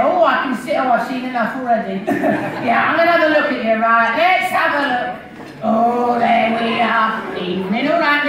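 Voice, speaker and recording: A man's voice: extremely high pitch, background noise. A man with an English accent playing a cheeky, bawdy middle-aged pantomime dame on a live stage, bantering with the audience and leading call-and-response. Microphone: filmed by a phone from the audience. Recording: echoey hall recorded from far off with crowd.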